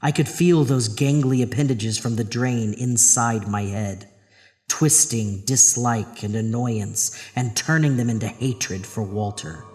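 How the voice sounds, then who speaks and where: A man playing the haunted narrator of a horror tale, reading in a grave, deliberate, intense dramatic style. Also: mid-range voice, a little mellow, in a large hall, lightly acted. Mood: dark, dulled.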